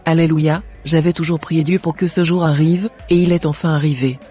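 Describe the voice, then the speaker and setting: A guy playing a devout praying wife, fervent, slightly high voice, music behind. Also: fairly even intonation, brisk, a little mellow, breathy edge. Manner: fervent, prayerful.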